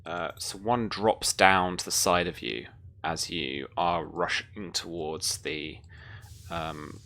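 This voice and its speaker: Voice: sits low, steady tone, slow pace, pushed and bright. A game master narrating a tabletop roleplaying game in a hesitant, improvised way, with some noise in the recording.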